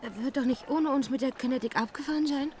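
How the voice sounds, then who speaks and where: An actress playing a gentle, admiring lady travel companion in a German audio drama, soft-spoken and polite. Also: high voice, fast talker, slight background noise, natural voice. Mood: worried.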